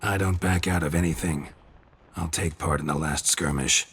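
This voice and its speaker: An actor playing a stoic, world-weary monster hunter in a video game, speaking in terse, deadpan lines with a gravelly, husky voice. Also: male voice, very deep voice, normal speed, exaggerated voice. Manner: terse, deadpan, clipped sentences.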